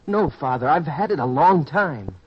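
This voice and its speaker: A young farmer's son, ambitious and stubbornly earnest. The voice is slightly high and rough-edged, pressing each point in old radio drama style on a dull recording. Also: male voice, animated, moderate pace. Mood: determined. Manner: earnest, insistent.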